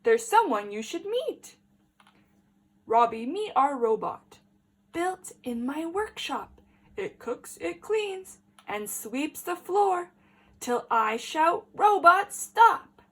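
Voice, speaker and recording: A woman's voice: high voice, very animated, slow pace, a bit bright, voice pushed up, heavily acted. A woman voicing a proud inventor dad from a children's storybook, emphatic and sing-song, calling out commands. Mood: proud, commanding.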